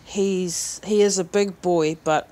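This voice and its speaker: A middle-aged woman, speaking plainly and haltingly in a reflective interview as a mother. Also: lowish voice, steady tone, warm tone. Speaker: mother of a rugby player. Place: living room, on a sofa.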